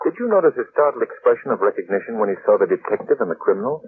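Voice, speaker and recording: A composed, astute gentleman detective, played by an actor, has a low voice with lively inflection. He is decisive, in classic 1940s radio style, on a tinny recording. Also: lightly acted. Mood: pondering, confident. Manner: measured, decisive. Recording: tinny, faintly noisy vintage radio recording.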